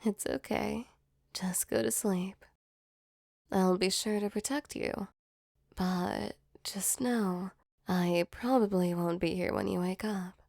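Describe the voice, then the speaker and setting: A woman voicing a gentle, protective female demon in a soft, soothing ASMR comfort roleplay. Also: medium pitch, fairly even intonation, takes it slowly, creaky, dry recording, clean studio sound. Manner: soothing, tender, reassuring.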